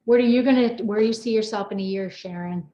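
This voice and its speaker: A woman's voice: mid-range voice, quick pace, dark tone, reverberant, muffled recording. A middle-aged woman hosting a casual panel chat, talking at length in an emphatic way. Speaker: host and wellness coach.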